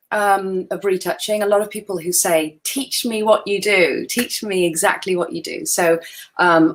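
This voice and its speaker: A woman in her forties, a guest photographer chatting casually on a video call in a friendly, self-deprecating way, sounding a little tired. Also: mid-range voice. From Wales. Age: 40s. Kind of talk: casual webinar chat.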